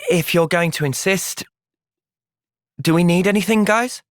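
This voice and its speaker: A man with an English accent playing an earnest, thoughtful young soldier hero in a video game, speaking sincerely and with measured delivery. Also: sits high, dry recording, studio quality. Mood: calm, earnest. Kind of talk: video game cutscene voice acting.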